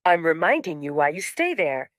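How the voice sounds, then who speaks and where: A woman voicing a patient, scheming middle-aged father in an audio drama, speaking in a measured, coaxing way. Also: low-pitched, very animated, dry recording, clean studio sound. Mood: coaxing, instructive.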